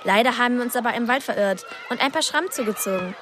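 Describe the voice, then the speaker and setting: A woman's voice: a little high, fast, fairly bright tone, a little hiss or hum, close to an everyday voice. An actress playing a chatty, friendly, slightly anxious twelve-year-old witch girl in a radio drama. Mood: covering up.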